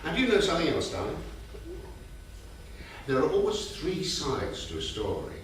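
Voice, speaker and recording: A man's voice: fairly high pitch, huge pitch swings, normal speed. A man playing a fond, stubborn, wry old grandfather in a staged play reading. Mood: wry, instructive. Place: small theatre, staged reading. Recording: echoey theatre, distant mic, faint noise.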